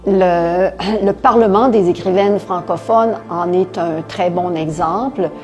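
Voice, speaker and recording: A woman writer answering in an interview in a measured, thoughtful way. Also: fairly low pitch, darkish tone, muffled recording, faint music behind. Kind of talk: reflective interview testimony.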